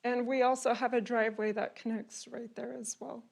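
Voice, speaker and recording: A young woman with a notably high voice speaks in a measured, formal way. She reads a prepared statement as a resident at a public hearing, earnest and careful. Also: flat delivery, creaky. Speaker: resident giving public comment.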